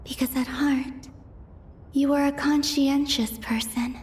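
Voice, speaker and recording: Soft, near-whispering ethereal maiden. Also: female voice, high-pitched, little pitch movement, slow pace. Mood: gentle, wistful. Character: gentle, wistful, ethereal young maiden. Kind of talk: dramatic game voice acting.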